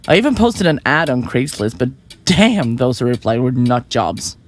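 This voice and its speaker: A woman playing a flippant, self-important young murderer in an audio drama, glib and quick to get defensive. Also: very deep voice, very animated. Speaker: murderous podcaster in a therapy session. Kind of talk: audio drama acting, conversational.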